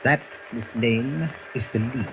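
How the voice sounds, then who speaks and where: An actor playing a menacing, coldly polite German doctor villain in a formal, threatening manner in a 1940s radio drama. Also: male voice, medium pitch, a little slow, close to an everyday voice. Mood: smug threat. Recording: muffled, noisy old 1940s radio recording.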